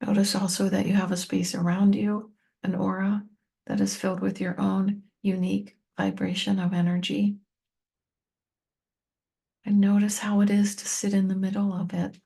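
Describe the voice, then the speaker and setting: A woman leading a guided meditation, speaking gently and unhurriedly to the listener in short, spaced-out phrases. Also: mid-range voice, level tone, normal speed, gravelly, slightly rough. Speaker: meditation teacher and tapping coach.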